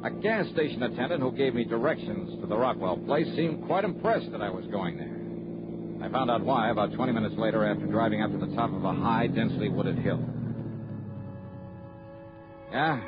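An actor playing a confident, wry insurance investigator in an old American radio drama, brisk and clipped with an American accent. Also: male voice, on the low side, expressive, natural voice. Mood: dry, narrating. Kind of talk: mid-century American radio drama acting. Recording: dull vintage radio recording with music.

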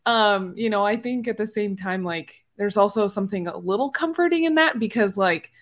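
A woman chatting casually as a podcast panelist, telling stories in an animated, giggly way. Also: mid-range voice, moderate pace. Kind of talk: casual chat.

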